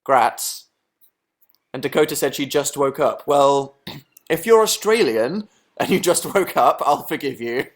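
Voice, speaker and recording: A young man hosting a casual livestream, reading viewer comments aloud and answering them with sarcastic, deadpan, self-mocking jokes. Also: medium pitch. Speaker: livestream host.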